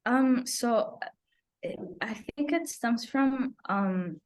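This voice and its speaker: A woman's voice: on the high side, expressive, slow. A young woman who grew up in the UAE, giving earnest, personal interview answers as a guest activist, repeating phrases for emphasis. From Abu Dhabi, UAE. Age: young adult. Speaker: climate activist, podcast guest.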